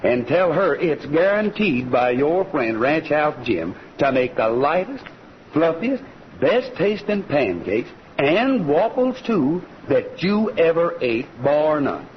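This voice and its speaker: A hearty American cowboy host with a fairly high voice and an unhurried, folksy delivery. He sells like an old-time radio announcer, on a dull vintage recording with music loud behind him. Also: big pitch swings, a little hiss or hum. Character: friendly singing cowboy ranch host pitching pancake flour. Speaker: host and pitchman. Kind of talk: 1940s radio western show announcing.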